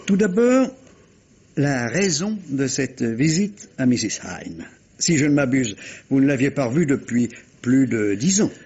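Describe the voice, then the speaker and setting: An actor playing a courteous, probing police inspector in a vintage radio mystery, with polite, hesitant, insinuating questions. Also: male voice, mid-range voice, sing-song, unhurried, darkish tone, breathy edge, lo-fi sound, exaggerated voice. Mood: measured, probing.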